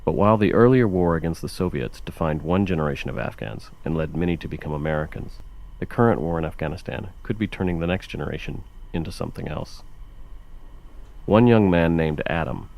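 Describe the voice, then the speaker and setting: A man giving measured, scripted documentary narration. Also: rumbling low, creaky, darkish tone.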